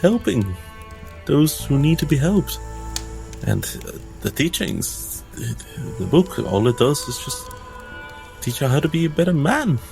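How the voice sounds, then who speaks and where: A man's voice: on the high side, very animated, a little slow, creaky, a little mellow, faint music behind, pitched above the performer's own voice, full character voice. A man playing an earnest, troubled priest in a tabletop game, stammering and unsure of himself. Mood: hesitant, unsure.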